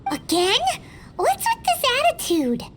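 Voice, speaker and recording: A woman voicing a tiny, chatty, anxious fairy companion in a video game, with a squeaky childlike voice. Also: huge pitch swings, measured pace, a little hiss or hum, full character voice. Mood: dismayed, placating. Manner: refers to self in third person.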